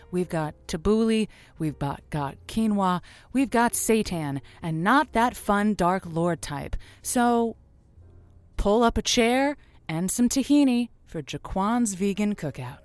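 A woman's voice: mid-range voice, animated, takes it slowly, dry recording. A woman playing a wry late-night lonely hearts radio host in an audio drama. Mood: announcing. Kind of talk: semi-improvised audio drama, radio call-in show patter.

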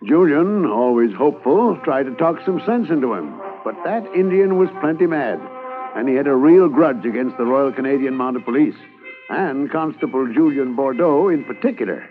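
A man narrating a 1950s radio drama in a lively storytelling way. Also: mid-range voice, moderate pace, over music. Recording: tinny old radio transcription.

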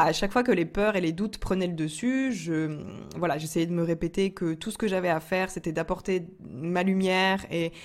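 Young woman from France, low-pitched, casual vlog storyteller, talks with many fillers. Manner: chatty, frequent fillers and restarts, laughs often. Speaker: entrepreneur vlogger.

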